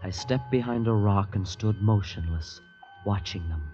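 Brief: male actor as terse young adventure hero, low voice, 1940s radio suspense, muffled recording under music. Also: moderate pace, natural voice. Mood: tense narration. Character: wary young man, hard-boiled narrator of his own peril. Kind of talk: 1940s radio drama acting, suspenseful first-person narration. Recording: dull vintage radio recording.

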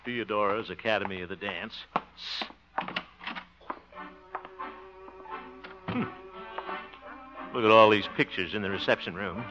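A man's voice: lowish voice, brisk, music in the background, lightly acted. An actor playing a blustery, exasperated, good-hearted middle-aged man, grumbling and exclaiming in 1950s radio comedy style. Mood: curious, flustered. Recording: dull old radio recording.

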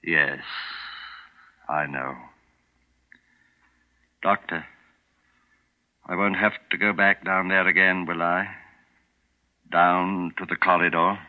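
A man's voice: deep voice, fairly even intonation, slow pace, pauses often. An actor playing an older man in a 1940s radio thriller, speaking with urgent, dramatic delivery. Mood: pleading. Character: elderly man, hunted by a scheming son-in-law. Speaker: protagonist and narrator. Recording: dull old radio broadcast with music.

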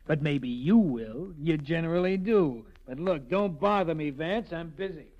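An actor playing a gruff, sarcastic police sergeant in a 1940s radio drama, blunt and comically exasperated. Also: male voice, on the high side, lively intonation, measured pace. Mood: grumbling, sarcastic. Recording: dull, lo-fi 1940s radio recording.

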